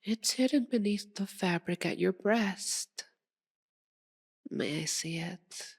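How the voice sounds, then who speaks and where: A woman's voice: on the low side, slow pace, a little mellow, breathy edge, full character voice. A woman playing a seductive, possessive, sadistic vampire aristocrat in an ASMR audio roleplay, with a theatrical, purring, teasing formality. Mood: smug, coaxing. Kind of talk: ASMR roleplay, dramatic monologue to listener.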